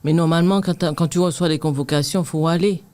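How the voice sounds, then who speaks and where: A woman's voice: deep voice, steady tone, fast talker, dark tone. A woman in her fifties with a Martinican accent, chatting earnestly as an interview guest and reflecting on accepting herself. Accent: Martinican French. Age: 50s. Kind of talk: casual interview chat.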